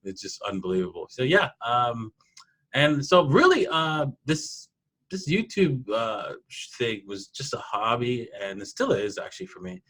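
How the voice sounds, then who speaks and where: A man, telling personal stories in a casual interview in an animated way. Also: mid-range voice, unhurried, poor audio. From Toronto, Canada. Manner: animated storyteller, laughs often. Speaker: podcast guest, programmer.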